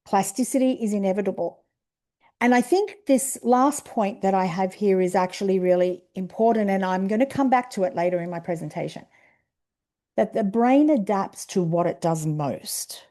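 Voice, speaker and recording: A woman with an Australian accent giving an online presentation over a video call, explaining ideas step by step in a measured way. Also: medium pitch, warm tone. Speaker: webinar presenter.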